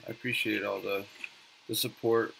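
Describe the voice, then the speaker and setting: A man's voice: on the low side, level tone, moderate pace, vocal fry, noisy background. A young man, a native English speaker, chatting casually on a live gaming stream from his bedroom in a laid-back, friendly way. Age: young adult. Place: small bedroom at home, indoors. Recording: music playing behind the voice. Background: music.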